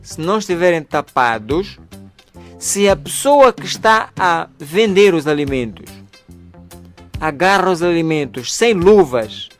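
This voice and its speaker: A man speaking as a doctor, explaining health matters didactically for radio, pausing and checking with tag questions. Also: fairly high pitch, expressive, a bit bright, faint music behind.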